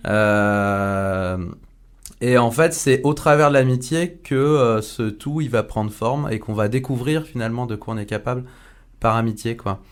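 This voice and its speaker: Guy guest in a chat show, lots of euh, feels his way through what he says. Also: mid-range voice. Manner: hesitant, full of fillers and restarts. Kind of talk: casual studio chat.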